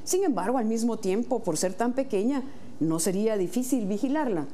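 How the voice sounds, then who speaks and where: A woman from Guatemala, a mayor-elect, giving earnest explanatory answers in a studio interview, often pausing on "eh". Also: on the high side, lively intonation, mellow, slight background noise. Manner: earnest, explanatory, frequent "eh" hesitations.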